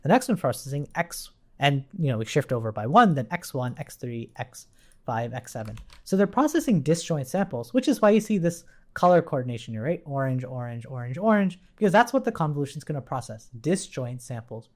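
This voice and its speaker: A woman's voice: very deep voice, big pitch swings, fairly quick. A woman giving an informal live coding tutorial, explaining step by step as an instructor.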